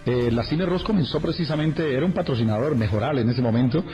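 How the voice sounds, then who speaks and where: Man, casual radio talk, mellow dark voice, nostalgic, enthusiastic, dull lo-fi sound. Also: medium pitch, fast talker, soft background music.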